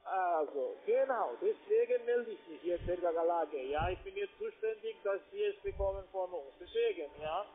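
A man with a very high voice and a foreign accent presses on with a sales call. His phrasing is halting and non-native, and he comes through a thin, tinny telephone connection. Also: expressive, crowd noise. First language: not German. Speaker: call-center telephone trader. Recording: tinny narrowband phone line.

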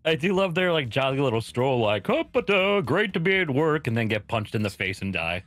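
A man's voice: medium pitch, big pitch swings, a little fast, fairly bright tone, dry recording. A man chatting casually as a guest on a game stream, jokingly acting out imagined lines. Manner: jokey, acts out imagined quotes. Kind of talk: casual chat.

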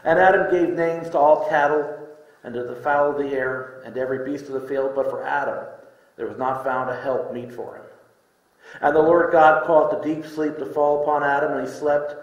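A middle-aged man preaching a sermon in deliberate short phrases with pauses, reading scripture from a lectern. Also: on the high side, steady tone, dull audio. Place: indoor room, speaking from a lectern. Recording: echoey room.

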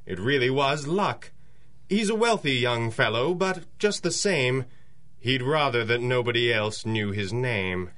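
An actor playing a guarded, sharp masked vigilante hero in a 1930s-style radio drama. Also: male voice, mid-range voice, animated, a little slow. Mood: evasive.

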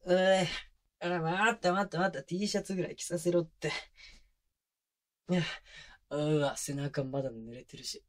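A man's voice: steady tone, moderate pace, pauses often, dry recording, natural voice. Man acting a teasing, doting young boyfriend, intimate ASMR. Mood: flustered, playful. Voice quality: mid-low voice. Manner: casual, playful, slipping into baby talk and soft chuckles. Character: teasing, doting young boyfriend babying his exhausted, clingy girlfriend. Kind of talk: intimate ASMR roleplay drama, addressed to the listener. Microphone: close binaural microphone.

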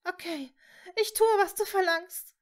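A woman voicing a young witch in love, speaking with soft, earnest emotion in a fantasy audiobook. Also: high-pitched, animated, fast, voice pushed up. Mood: desperate.

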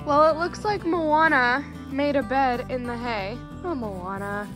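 A woman's voice: sits high, unhurried, music in the background. A young American woman, in her teens or just past, talking casually to the camera as a farm vlogger. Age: teens to young adult. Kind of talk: casual vlog narration. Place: snowy farm outdoors, barns, and a room indoors. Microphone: phone at arm's length.

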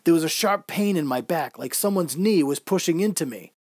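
A man's voice: a little high, lively intonation, a little fast, darkish tone, no room sound. Man narrating a first-person story, close-miked. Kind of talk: scripted first-person story narration in old-time radio manner.

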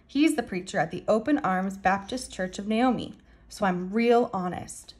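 A woman's voice: mid-range voice, normal speed, darkish tone, natural voice. Female narrator as young girl, eager, polite, persuasive, folksy, audiobook character. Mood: earnest pleading. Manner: earnest, pleading, folksy. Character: eager, polite ten-ish preacher's daughter, persuasive.

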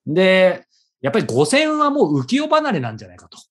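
Fast, animated male interviewer. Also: high-pitched. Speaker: interviewer, show host. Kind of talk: conversational interview.

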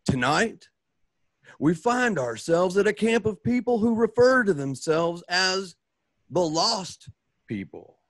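A man's voice: sits high, big pitch swings, dry recording, voice pushed up, heavily acted. Theatrical Western radio serial announcer played by a man. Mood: suspenseful storytelling. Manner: theatrical, deliberate, with swelling emphasis. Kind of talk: scripted radio drama narration.

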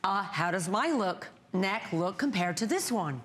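A woman in her fifties pitching a skincare product on a TV shopping show, chatty and emphatic, stacking up vivid words, recorded on a lapel mic in a bright studio. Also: lively intonation, unhurried, breathy edge. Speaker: brand founder presenting on a shopping channel. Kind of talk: home shopping sales pitch.

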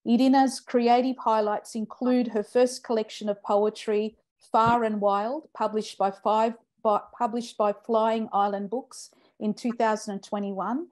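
A middle-aged woman hosts a poetry evening on a video call. She introduces the readers in a slightly high-pitched voice. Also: darkish tone. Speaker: host of a poetry open mic. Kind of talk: introducing speakers, reading biographies. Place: indoor study with bookshelves. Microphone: video call with wired earphones.

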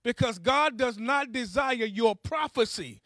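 Fervent, very high-pitched male preacher. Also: lively intonation, unhurried, bright, forceful tone, no echo, studio quality. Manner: fervent, emphatic, exhorting with repeated phrases. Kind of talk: charismatic sermon.

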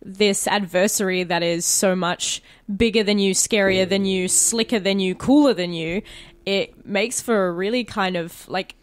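A woman's voice: mid-range voice, a little slow, dry recording. A woman with an Australian accent co-hosting a podcast in loose, casual, sweary chat. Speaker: podcast co-host.

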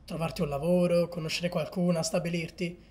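Man acting high-voiced, exasperated comedy sketch guy. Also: level tone, brisk, a little mellow, close to an everyday voice. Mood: smug. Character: uptight young man in sketch, later aged recluse.

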